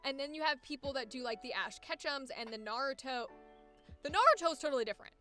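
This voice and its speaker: A young woman with a high-pitched voice, chatting casually about voice acting on a live stream and now and then trying out squeaky character voices. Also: expressive, fast, pushed and bright, soft background music. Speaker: voice actor streaming.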